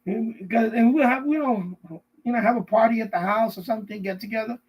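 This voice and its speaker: A middle-aged man with a notably high voice that has a husky, rough edge. He chats casually as a live stream host, wandering from thought to thought and circling back to repeat himself. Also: fairly quick, darkish tone, low-quality recording. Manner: rambling, repeats himself often. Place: basement.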